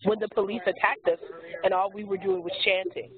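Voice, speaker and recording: A woman speaking as an activist on a webinar panel, hoarse from losing her voice, emphatic and earnest with frequent restarts. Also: medium pitch, little pitch movement, fairly quick. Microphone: telephone handset. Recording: tinny phone line with faint hiss.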